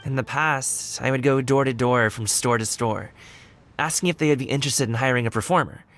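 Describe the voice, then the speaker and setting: A man's voice: mid-range voice, a little fast, fairly bright tone, soft background music, close to an everyday voice. A man playing a friendly young wushu dancer in an anime game, speaking in a breezy, sincere way. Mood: wistful, candid.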